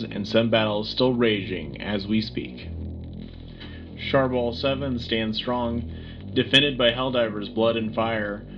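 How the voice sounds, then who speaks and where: A man's voice: medium pitch, muffled recording. A man playing a patriotic wartime radio news anchor, delivering a scripted broadcast in a dramatic, declamatory way. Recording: music bed behind the voice.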